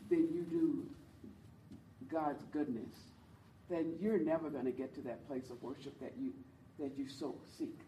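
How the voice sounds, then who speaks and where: A woman with a low voice leading a church teaching on worship, talking earnestly and encouraging her listeners to join in. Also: dark tone, slight background noise. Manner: earnest, encouraging, speaks to the audience and invites replies. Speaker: worship leader teaching a group. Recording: roomy sound from a distant mic, faint ambience.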